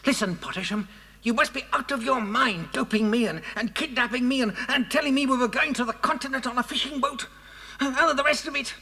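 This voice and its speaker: An actor playing an agitated, earnest kidnapped scientist who speaks haltingly in a children's audio drama. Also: sits high, bright, forceful tone. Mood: indignant. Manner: halting, stammering. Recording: long reverberant hall sound.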